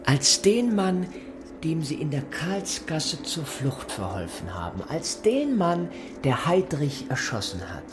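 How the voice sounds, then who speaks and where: Menacing Gestapo colonel, music underneath. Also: male voice, a little high, very animated, slow. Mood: pressing, insinuating. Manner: clipped, officious, insinuating questioning. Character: menacing Gestapo colonel interrogating witnesses, coldly polite. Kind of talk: radio play acting. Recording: radio play with music underneath.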